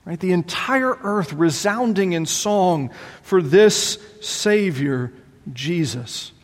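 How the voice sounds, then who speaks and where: A man preaching a sermon as pastor, animated and exclaiming, voicing his listeners' doubts aloud, in a large church sanctuary. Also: sits high, takes it slowly. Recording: long hall reverb.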